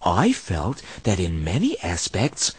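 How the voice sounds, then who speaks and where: An actor playing a pompous, theatrical man in a comic video game, with a husky voice and exaggerated delivery. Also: sits low, wildly swooping pitch, slow, heavily acted. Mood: pompous, musing. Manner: exaggerated, wildly swooping delivery.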